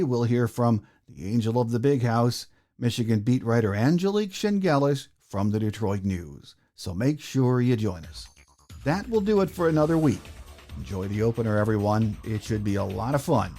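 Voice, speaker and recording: Casting: American male sports podcast host, relaxed dark tone, mid-range pitch, team preview delivery. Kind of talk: sports podcast hosting, game preview notes.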